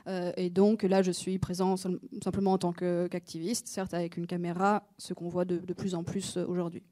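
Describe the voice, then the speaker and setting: A woman working as an interpreter at a master class, steady with frequent fillers. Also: fairly low pitch, moderate pace. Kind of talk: consecutive interpreting. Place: conference centre.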